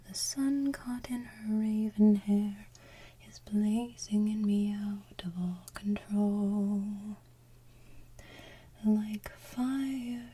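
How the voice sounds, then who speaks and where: A woman delivers ASMR in a hushed, airy voice that is mostly whisper and soft singing. Her pace is very slow and gentle. The tone is extremely mellow, with a husky breathiness throughout. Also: a little high. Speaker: ASMR channel host. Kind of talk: ASMR soft singing of animated film villain songs.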